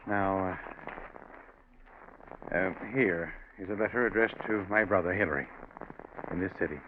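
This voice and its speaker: An actor playing a grave, secretive, middle-aged bank president, formal and deliberate with some hesitation, in 1940s radio drama style. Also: male voice, low-pitched, natural voice. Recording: noisy, narrow-band 1940s radio recording.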